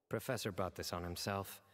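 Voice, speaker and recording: An actor playing a composed, serious young general in a video game, speaking in a measured, matter-of-fact way. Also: male voice, low-pitched, slight background noise.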